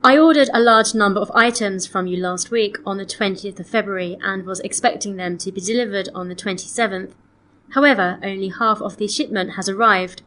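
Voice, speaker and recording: A woman playing a polite customer on a complaint call, reading scripted dialogue in a measured, clearly enunciated way. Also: medium pitch, fairly bright tone, natural voice. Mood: polite, matter-of-fact. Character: polite woman customer phoning to report missing, damaged antiques. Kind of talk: scripted listening-test dialogue.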